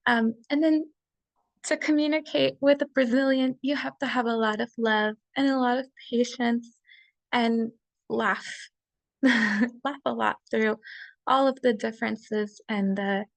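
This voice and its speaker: A young woman presenting a class assignment over a video call, chatty and quick to laugh, with many fillers. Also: a little high, steady tone, vocal fry, no echo. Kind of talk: casual slideshow presentation.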